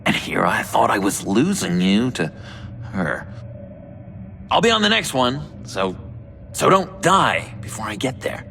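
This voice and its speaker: An actor playing an unhinged, giddy young male villain in a video game, clingy and teasing, with a theatrical, drawn-out delivery full of halting pauses. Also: medium pitch, big pitch swings, gravelly, fairly bright tone, soft background music, full character voice. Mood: giddy, needy.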